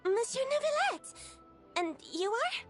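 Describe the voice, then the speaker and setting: Innocent young girl in a video game, very high pitch, lively intonation, sweet, trusting, carefree. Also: a little slow, heavily acted. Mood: cheerful, polite. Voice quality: youthful, girlish. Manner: bright, naive exclamations. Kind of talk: English video game voice acting. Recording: game audio with music behind.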